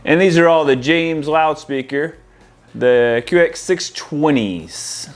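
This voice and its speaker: A man's voice: fairly high pitch, takes it slowly, soft background music. Casual man presenting a hands-on install. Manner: informal, addresses viewers as guys. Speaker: audio-video installer presenting to viewers. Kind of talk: casual product demo and install walkthrough.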